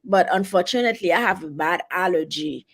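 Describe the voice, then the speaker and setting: A woman in her forties from Haiti with a heavy Haitian accent, talking as an interview guest in a halting, casual way with many fillers. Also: on the low side. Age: 40s. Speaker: guest, travel advisor.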